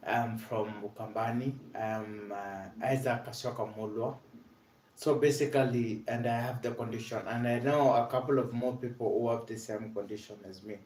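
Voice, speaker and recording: A young Kenyan man with a Kenyan accent, telling his own story about living with multiple sclerosis in an earnest, halting way full of "basically" and "uh". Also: mid-range voice, steady tone, darkish tone. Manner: earnest, repeats "basically" and "uh", frequent restarts. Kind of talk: personal awareness vlog, storytelling. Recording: echoey room.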